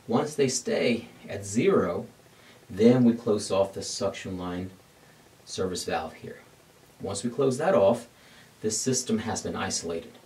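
A middle-aged man teaching an HVAC lesson at a glass board in a dark studio, explaining each step and answering his own questions. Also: fairly low pitch, distant mic. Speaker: HVAC instructor. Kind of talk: whiteboard technical lesson.

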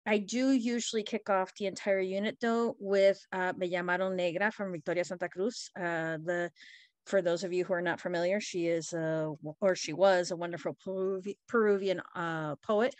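A woman's voice: on the low side, fairly even intonation, normal speed, no echo. A woman from Costa Rica, a Spanish language arts teacher, sharing her classroom practice earnestly in a webinar discussion. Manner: earnest, with frequent fillers.

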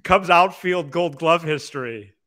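Casting: young male sports podcast host, bright forceful voice, slightly high. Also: measured pace. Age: young adult. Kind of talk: casual sports podcast talk. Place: small indoor room on a video call. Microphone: close podcast microphone with headset.

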